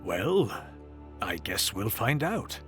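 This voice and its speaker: Guy doing old gentleman explorer, polite n dry, voice moves around a lot, faint music. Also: on the low side, sing-song, slow pace, heavily acted. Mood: reassuring. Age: older. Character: genteel, composed older British gentleman explorer. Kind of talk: audio drama acting.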